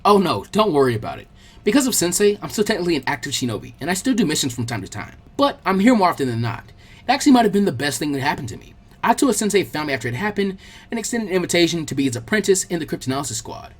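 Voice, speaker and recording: A man's voice: on the high side, very animated, rapid-fire, slightly rough. Man voicing a chatty, bookish teenage girl. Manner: chatty, gently teasing, practical. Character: friendly, bookish teenage girl apprentice, gently bossy.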